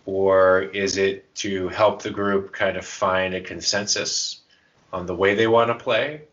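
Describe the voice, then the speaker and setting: A man's voice: low voice, fairly even intonation, measured pace, fairly bright tone, muffled recording. A man co-hosting a podcast in casual chat, with an irreverent, self-deprecating way of talking. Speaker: podcast co-host.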